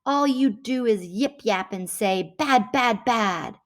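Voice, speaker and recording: A woman's voice: fairly high pitch, slow pace. A woman voicing a stubborn, boastful male businessman who justifies himself emphatically in a rhyming storybook. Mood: angry, defiant. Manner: emphatic, self-justifying rhymed speech.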